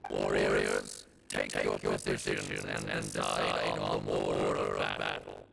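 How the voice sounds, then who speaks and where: An actor playing a solemn, commanding officiant of an ancient rite in a video game, speaking in a grave, formal, stately manner. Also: male voice, rumbling low, flat delivery, slow, vocal fry, a little hiss or hum, exaggerated voice. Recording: game audio with loud music behind.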